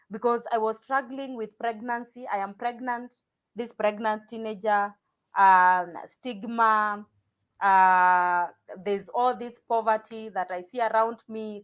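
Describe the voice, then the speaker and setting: A Kenyan woman, a guest in an interview, telling her life story in a reflective, hesitant way with many pauses and restarts. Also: a little high.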